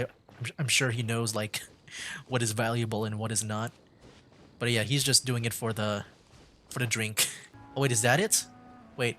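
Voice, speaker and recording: A man's voice: mid-range voice, a bit bright. A man giving casual, amused commentary while streaming a video game. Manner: chatty, amused.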